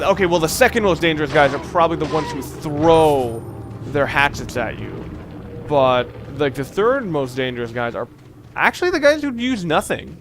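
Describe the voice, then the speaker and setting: Rambling man commentating a game, noisy background. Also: a little high. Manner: rambling, halting, thinking aloud. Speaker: let's play host. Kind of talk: casual gameplay commentary.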